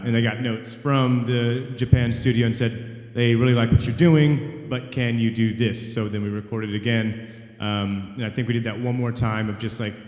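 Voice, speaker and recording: A man's voice: mid-range voice, a little mellow. A man, a voice actor on a convention panel, recounting an audition earnestly and humbly, with many fillers. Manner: earnest, humble, quick bursts between fillers. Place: large convention hall. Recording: echoey hall with long reverb.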